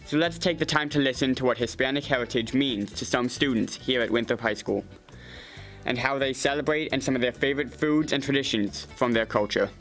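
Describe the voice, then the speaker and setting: A teenage boy presenting a segment on a school news show, reading a steady script. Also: mid-range voice, a little fast, soft background music. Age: teens.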